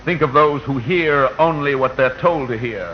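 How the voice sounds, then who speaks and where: A man's voice: mid-range voice, moderate pace, a little hiss or hum, lightly acted. A man playing the voice of radio itself as a solemn, fervent orator in 1940s verse drama, declaiming poetically. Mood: grave, commanding. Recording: muffled old 1940 radio broadcast, music behind.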